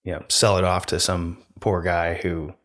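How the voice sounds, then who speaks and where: Calm monotone young man, deep. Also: normal speed. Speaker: podcast co-host. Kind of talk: casual chat. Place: small room indoors. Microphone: studio mic on stand, close.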